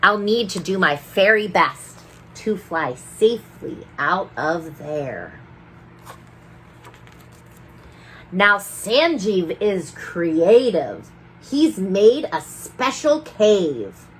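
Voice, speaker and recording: A woman's voice: mid-range voice, sing-song, slow pace, a little hiss or hum, rough recording. Sneaky, theatrical tooth fairy played by a woman, swooping delivery. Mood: dramatic. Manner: mischievous, theatrical. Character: sneaky, gleeful, boastful female tooth fairy narrating in rhyme. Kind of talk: rhyming storybook read-aloud.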